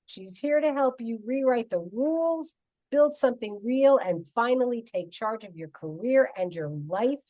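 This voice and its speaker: A woman's voice: on the high side, lively intonation, moderate pace, dark tone, no room sound, poor audio. A woman in her fifties hosts a radio talk show with emphatic enthusiasm. Her voice is recorded close and dry, with no room sound around it. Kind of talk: radio interview hosting.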